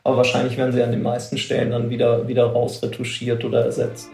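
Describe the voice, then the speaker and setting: A man's voice: mid-range voice, monotone, quick pace, vocal fry, darkish tone, roomy sound, soft background music. A man explaining production work informally in an interview, hesitant and correcting himself as he goes. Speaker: visual production expert.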